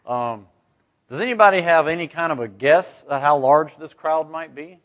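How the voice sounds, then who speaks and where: Higher-pitched man teaching Bible study. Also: normal speed, fairly bright tone. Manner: conversational, rhetorical questions, asks "right?". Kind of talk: informal scripture teaching to a group. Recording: dull, muffled lo-fi recording.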